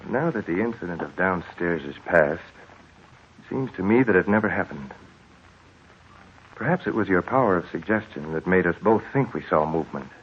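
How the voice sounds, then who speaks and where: An actor playing a steady, skeptical man who reasons with his friend, in measured, stagey 1940s radio drama style. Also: low-pitched, breathy edge, lo-fi sound. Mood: calm, reasoning. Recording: thin, hissy 1940s radio recording.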